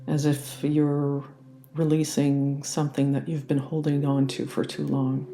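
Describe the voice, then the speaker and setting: A meditation guide leading a guided relaxation in a gentle, soothing and unhurried manner. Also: mid-range voice, completely flat delivery. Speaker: yoga nidra meditation guide.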